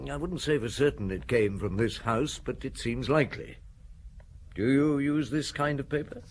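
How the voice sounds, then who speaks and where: An actor playing a seasoned, reserved police chief inspector in an audio drama, with measured, understated delivery. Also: male voice, mid-range voice, slight background noise, close to an everyday voice. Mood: reserved, polite. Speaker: lead actor and narrator. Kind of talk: audio drama acting and first-person narration.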